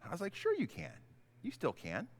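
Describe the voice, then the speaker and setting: A middle-aged man, a pastor, giving an informal, halting children's message in simple, conversational words. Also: sits high, wildly swooping pitch, creaky. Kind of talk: gentle children's sermon, informal storytelling.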